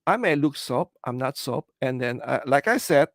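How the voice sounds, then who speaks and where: A middle-aged man from the Philippines with a Filipino English accent, hosting a casual livestream and answering viewer comments in a halting, earnest way, full of "uh" and "you know.". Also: medium pitch, lively intonation, fairly bright tone, dry recording, studio quality. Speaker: livestream host.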